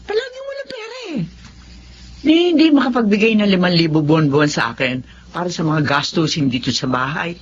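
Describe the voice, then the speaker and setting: A woman's voice: on the low side, big pitch swings, a little fast, lo-fi sound, slight background noise. An actress playing a tired, poor older mother who scolds and complains, in a radio drama. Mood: complaining.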